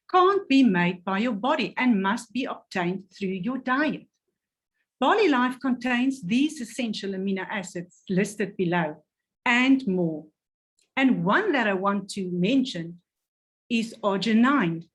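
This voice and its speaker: A woman presenting a health product, reading carefully and stumbling over technical words. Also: on the high side.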